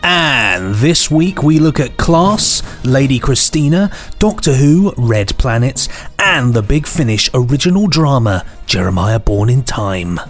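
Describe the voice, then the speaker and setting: A man's voice: medium pitch, very animated, unhurried, few pauses. A British man co-hosting a podcast in casual, jokey, rambling banter. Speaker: podcast co-host. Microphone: headphones on, podcast microphone. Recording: music bed under the voice.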